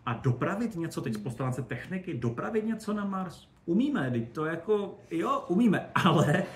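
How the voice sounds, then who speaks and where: A man giving animated, colloquial, digressive explanations as an expert guest in a casual interview. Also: fairly high pitch. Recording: roomy echo, faint background noise.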